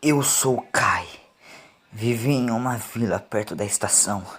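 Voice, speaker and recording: Man acting a traumatized, halting stowaway. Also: fairly low pitch, a bit bright, husky. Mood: broken, grieving. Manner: halting, repeats words, breaks off sentences. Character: traumatized stowaway, a grieving father, frantic and broken. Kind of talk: audio story character acting.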